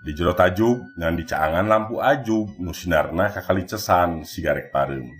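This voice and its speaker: Deep-voiced Sundanese man telling a tale. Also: moderate pace. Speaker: storyteller. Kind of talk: scripted narration of a Sundanese mystery folktale. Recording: music bed behind the voice. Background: background music.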